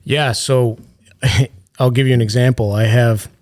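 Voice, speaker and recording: A man's voice: medium pitch, measured pace, no room sound. Male guest, easygoing anecdote-teller, medium voice, dry close recording. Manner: relaxed, anecdotal, chatty. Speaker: carrier solutions director, guest. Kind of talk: casual business chat.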